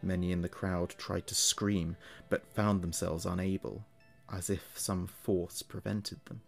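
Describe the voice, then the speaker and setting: A man narrating a scripted horror story in a measured, dramatic and ominous manner. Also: low voice, level tone, vocal fry. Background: music.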